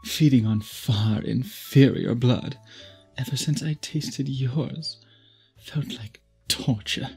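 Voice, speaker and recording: An obsessive vampire suitor, acted by a man, with a heavily breathy, rasping voice. He speaks in courtly, antique turns of phrase, intimate and possessive, in the manner of an ASMR roleplay. Soft music plays behind him. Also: mid-range voice, takes it slowly, full character voice. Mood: wistful, yearning.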